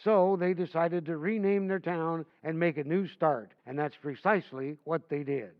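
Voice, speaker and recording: A man's voice: a little high, normal speed, lightly acted. A man playing a wry old storyteller, tinny sound. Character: older male author narrating his tale. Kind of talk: old-time radio play narration.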